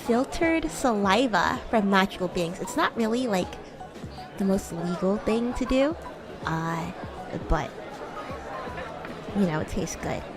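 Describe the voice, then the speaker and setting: A woman's voice: medium pitch, lively intonation, slow, pauses often, over music, crowd noise, pitched below the performer's own voice. A woman voicing a bratty, flustered young female demon classmate in improvised livestream roleplay, teasing and stammering as she acts haughty and defensive. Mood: chatty. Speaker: VTuber streamer.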